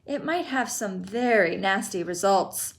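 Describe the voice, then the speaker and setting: A woman's voice: medium pitch, expressive, measured pace, gravelly. A woman voicing an eccentric, fussy male chocolatier with a theatrical, exclamatory way of talking. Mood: excitable.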